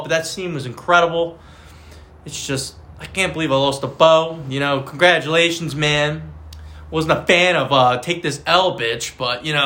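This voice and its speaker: A man's voice: on the high side, sharp tone. A man co-hosting a casual fantasy football podcast, joking and trash-talking in slangy banter. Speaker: podcast co-host.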